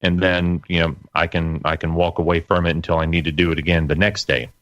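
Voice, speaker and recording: A middle-aged man explaining things step by step as an interview guest, in a measured, halting way. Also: low-pitched, flat delivery, fairly bright tone, highs cut off, a little hiss or hum.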